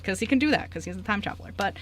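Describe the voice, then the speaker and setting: A woman's voice: mid-range voice, lively intonation, racing. A woman co-hosting a radio show in casual chat, giggly and informal, rambling as she explains. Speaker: radio show co-host and writer. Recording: background room noise.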